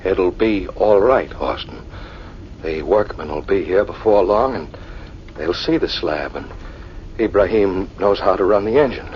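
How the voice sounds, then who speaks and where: Low-voiced brave companion, radio horror. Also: male voice, expressive. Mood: quietly reassuring. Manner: measured, hesitant pauses. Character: brave, reassuring male companion trapped in a dark tomb. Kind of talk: 1940s radio horror drama acting. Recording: dull, faintly noisy old radio recording.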